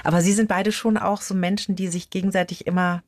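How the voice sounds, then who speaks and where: A woman's voice: mid-range voice, level tone, a little fast, no echo. A woman hosting an interview in a conversational style, putting questions to her guests. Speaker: interviewer, host.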